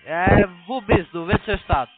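A man who is not a native speaker, giving instructions on a phone call in halting, broken sentences as a call centre agent. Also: high-pitched, husky, no echo. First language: not German. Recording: tinny narrowband phone line.

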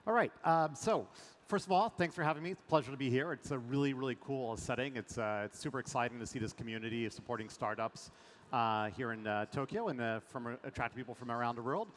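A man's voice: mid-range voice, fast, slight background noise. Middle-aged French man on a conference stage. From France. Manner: energetic, many fillers. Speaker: venture investor guest. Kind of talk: panel fireside chat. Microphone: headset mic. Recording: long hall reverb, faint ambience.

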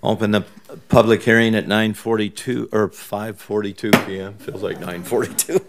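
A man with a fairly low voice chairs a council meeting in procedural language. He speaks into a dais microphone, with faint noise and clicks on the recording. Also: steady tone, normal speed. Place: council chamber, indoors. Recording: noisy chamber microphone with clicks.

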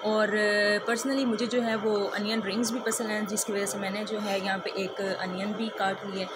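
A woman's voice: medium pitch, level tone, moderate pace. A woman, chatting step by step through a home cooking demonstration and often using the filler "jo hai". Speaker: cooking vlogger. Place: home kitchen, indoors. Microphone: phone held over the stove. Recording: noisy phone recording in a kitchen. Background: loud kitchen noise, frying and running water.

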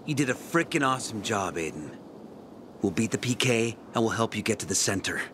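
An actor playing a brash, loyal young street rebel in a video game, emphatic and swaggering. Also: male voice, lowish voice, expressive, brisk, background noise, lightly acted. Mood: warm, approving.

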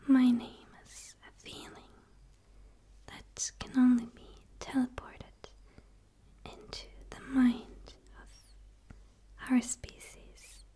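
A young woman playing a gentle, curious alien in a whispered ASMR roleplay, speaking in a soothing, hushed way with pauses, close to the microphone. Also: high-pitched, completely flat delivery, husky. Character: gentle, curious dark female forest alien.

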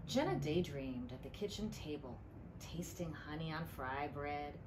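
A middle-aged woman, a librarian, reads a picture book aloud with deliberate storytelling emphasis. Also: deep voice, expressive. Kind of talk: expressive storybook read-aloud. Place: indoor library room. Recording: clearly noisy room ambience.

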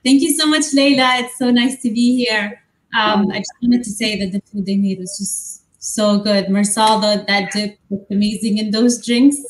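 A young adult woman from the Middle East, a baker, chatting warmly about her desserts over a video call from her home kitchen, with many fillers. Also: medium pitch, fairly even intonation, moderate pace, roomy sound, poor audio. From Middle East, grew up in Amman. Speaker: guest baker. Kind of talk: casual chat and cooking demo.

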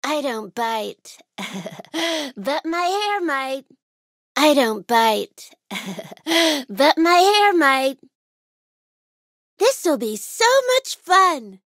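A woman voicing a bubbly, sweet, eager female monster racer in a video game, with an expressive, gushing delivery. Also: high-pitched, very slow, no room sound, studio quality, heavily acted. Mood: playful, coy, then excited, triumphant. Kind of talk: video game character voice clips.

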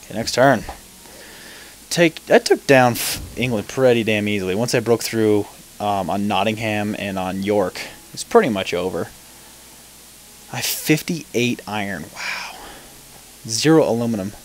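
A man's voice: fairly low pitch, a little slow, long pauses, a little hiss or hum, rough recording. A man casually commenting on a strategy game as he plays, talking to himself and swearing offhandedly. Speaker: let's play commentator.

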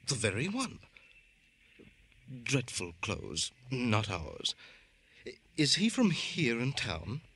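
A prissy, snobbish shop clerk from an old radio crime play. His bright voice swoops up and down, nervous and hesitant, on a faintly hissy recording. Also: medium pitch, wildly swooping pitch, takes it slowly, gravelly, heavily acted. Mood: chatty, snobbish. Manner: prissy, hesitant, over-refined. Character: fussy, snobbish, nervous male clothing-store clerk. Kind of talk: old-time radio crime drama acting.

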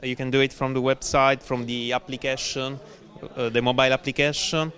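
Halting man making conference announcements. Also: mid-range voice, flat delivery, fairly bright tone. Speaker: conference session chair. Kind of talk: unscripted event announcement. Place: conference room.

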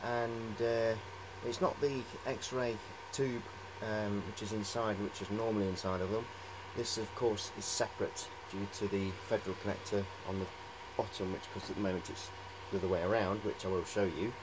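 Middle-aged male, slightly low voice, informal hobbyist demo with halting, wandering delivery, light workshop noise underneath. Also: fairly even intonation. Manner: rambling, hesitant, repeats "of course". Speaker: hobbyist presenter. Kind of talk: casual show-and-tell vlog. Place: cluttered indoor workshop.

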